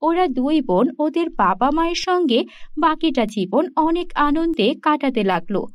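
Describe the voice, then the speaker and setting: A woman's voice: high voice, lively intonation, unhurried, no echo, studio quality. A woman narrating a scripted cartoon fairy tale as storyteller.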